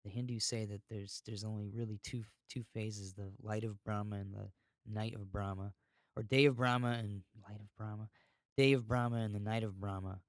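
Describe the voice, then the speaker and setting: Low-voiced man rambling on a podcast. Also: steady tone, normal speed, smooth, dry recording, clean studio sound. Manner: ranting, informal, swearing. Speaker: podcast host. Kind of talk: casual rambling podcast monologue.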